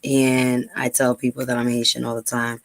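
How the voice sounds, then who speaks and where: A woman's voice: rumbling low, monotone, moderate pace, no room sound. Earnest New woman vlogger. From New York, USA. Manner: earnest, many ums and repeats. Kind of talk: casual talk to camera, then reading aloud.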